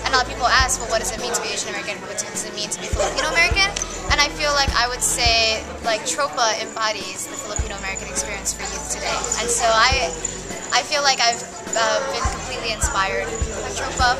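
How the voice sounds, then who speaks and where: A woman's voice: on the high side, quick pace, loud music behind the voice. A woman in her twenties gives a casual farewell testimonial, earnest and talkative, thinking aloud with fillers. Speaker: student club member.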